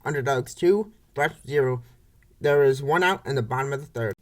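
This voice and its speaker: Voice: medium pitch, moderate pace. A baseball play-by-play announcer reading scripted commentary for a comic dub in short, clipped phrases.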